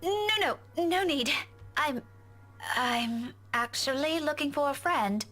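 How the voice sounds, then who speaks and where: A woman's voice: high voice, animated, slow pace, pushed and bright, exaggerated voice. An actress playing a polite, anxious woman in a visual novel game. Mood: flustered. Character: polite, anxious woman looking for her friend. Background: music.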